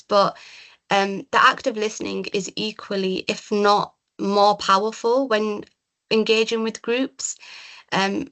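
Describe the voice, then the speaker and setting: A young woman whose first languages are Urdu and Punjabi, giving a measured slide talk as a community mental health worker, with frequent ums. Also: medium pitch, fairly bright tone, poor audio. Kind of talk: presentation with slides.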